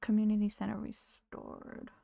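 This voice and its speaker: Woman game streamer, mid pitch, gravelly and dry close-mic sound, voice going hoarse. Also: completely flat delivery, moderate pace, dark tone, no echo. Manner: chatty, greets viewers, talks to game characters. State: losing her voice. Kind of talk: casual live-stream chat while playing.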